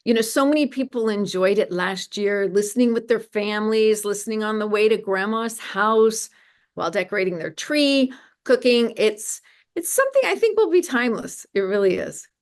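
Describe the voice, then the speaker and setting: A woman's voice: medium pitch, animated, normal speed. A woman speaking earnestly and enthusiastically as an interview guest promoting her organization's work. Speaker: guest, media ministry representative.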